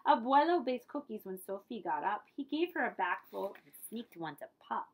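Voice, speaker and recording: A woman's voice: a little high, big pitch swings, moderate pace, slightly rough. A woman reading a children's picture book aloud as a librarian, with dramatic storytelling emphasis and friendly asides.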